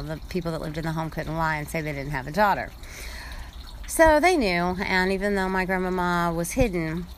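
Woman, low-pitched, earnest and halting storyteller. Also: slight background noise. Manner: earnest, halting, many fillers. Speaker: visitor telling a family story. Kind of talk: casual spoken storytelling.

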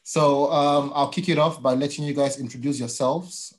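A man's voice: fairly high pitch, steady tone, moderate pace. A man hosting an interview in a casual, informal way, with easygoing approving interjections. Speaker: interview host.

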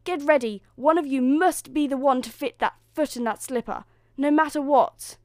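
A woman's voice: high-pitched, very animated, normal speed, no echo, pitched above the performer's own voice. A woman voicing a bossy, pushy stepmother in a fairytale reading. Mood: frantic, bossy.

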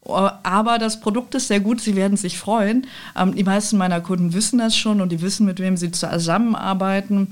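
A woman's voice: mid-range voice, steady tone, brisk, few pauses. A woman from the Rhineland speaks in a medium register. She talks as an interview guest, candid and informal, telling things with a self-deprecating sense of humour. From Rhineland, Germany. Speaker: podcast guest, technical sales professional. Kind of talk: conversational interview, telling anecdotes.

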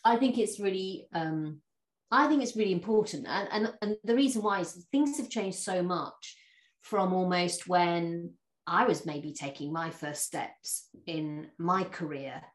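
A woman hosting an interview podcast, with a fairly low voice. She talks in a chatty, easy way, full of fillers and restarts. The room around her sounds echoey. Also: a little slow. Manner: chatty, warm laughs, many fillers and restarts. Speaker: podcast host. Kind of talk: interview conversation.

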